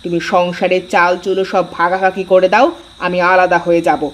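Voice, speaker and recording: A woman's voice: fairly low pitch, level tone, fairly quick, a little hiss or hum, close to an everyday voice. A woman voicing a resentful, irritable wife in a cartoon, petulant and insistent. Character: resentful, irritable wife demanding to split the household. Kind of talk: cartoon story dubbing.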